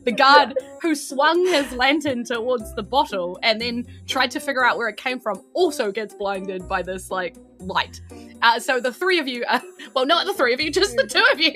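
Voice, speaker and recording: Game master, woman, New Zealand accent. Higher, bright voice, casual improvised narration with faint music behind. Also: lively intonation, moderate pace. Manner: hesitant phrasing peppered with "like". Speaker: game master of a tabletop RPG podcast.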